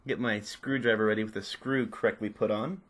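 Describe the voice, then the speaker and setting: A man's voice: lowish voice, fairly even intonation, fairly quick, fairly bright tone. A man giving casual, unscripted step-by-step instruction as he works with his hands. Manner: unscripted, narrating while working. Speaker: repair tutorial presenter.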